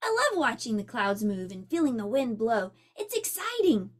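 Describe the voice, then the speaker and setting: A bold young fox from a storybook, squealing high and eager, played by a woman reading aloud. His voice bounces all over and stays thrilled by the wind. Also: big pitch swings, moderate pace. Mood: excited. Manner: eager, gleeful.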